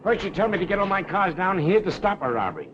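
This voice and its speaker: Blustering police commissioner, dull old film. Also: male voice, sits high, sing-song, quick pace. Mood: fuming. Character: blustering, exasperated police commissioner, gruff and sarcastic. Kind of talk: 1930s film acting. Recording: dull, noisy 1930s film soundtrack.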